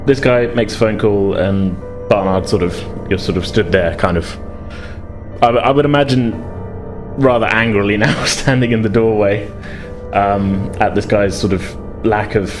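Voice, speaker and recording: A man with a fairly low voice narrates a tabletop game as game master. He is conversational and tentative, building the scene as he goes. Music plays clearly behind him.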